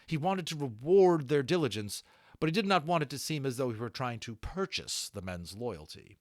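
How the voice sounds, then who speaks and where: A man narrating an audiobook as an expressive storyteller, dropping into casual asides now and then. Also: medium pitch, moderate pace, dry recording, clean studio sound.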